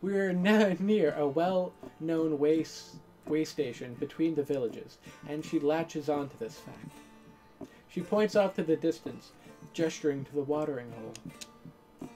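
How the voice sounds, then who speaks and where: A woman's voice: low voice, unhurried, warm tone, faint music behind, rough recording. A woman playing through a game, bantering casually and reading aloud in a playful, teasing manner. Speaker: game streamer and reader.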